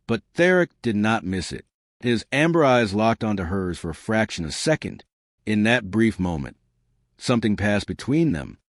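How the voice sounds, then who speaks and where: A man narrates a suspenseful story in a fairly low voice that rises and falls with lively movement. His delivery is dramatic and scripted. The recording is dry and close, with no room sound. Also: dry recording.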